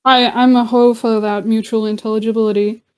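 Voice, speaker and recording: A woman's voice: on the high side, little pitch movement, fairly quick, smooth. A woman talking casually in a group voice chat, rambling with lots of fillers. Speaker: discord chat participant. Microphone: home computer mic on voice call.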